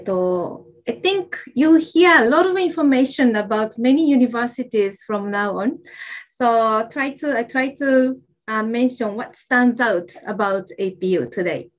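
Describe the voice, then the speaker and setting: A young woman with a Japanese accent, an admissions officer giving a friendly, halting presentation with fillers over a video call. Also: a little high. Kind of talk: online information session presentation. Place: indoors, virtual campus backdrop.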